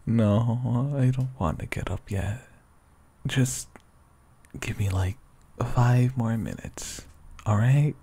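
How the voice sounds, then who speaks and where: A young man with a whispery voice speaks softly and sleepily in a teasing boyfriend roleplay, often breaking into quiet laughs. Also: medium pitch, drawn-out words, pauses often, husky. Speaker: ASMR roleplay performer. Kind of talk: soft-spoken ASMR boyfriend roleplay.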